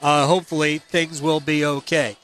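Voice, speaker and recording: Bright, high-voiced male sports radio host. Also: little pitch movement, moderate pace. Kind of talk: unscripted sports highlight commentary.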